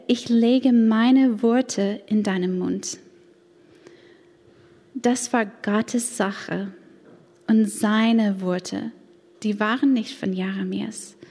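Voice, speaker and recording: A woman's voice: medium pitch, warm tone. A woman in her thirties from the United States, a native English speaker with an American accent, giving an earnest, careful personal testimony in German at church, with a few grammar slips. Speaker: church women's ministry leader. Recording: long hall reverb.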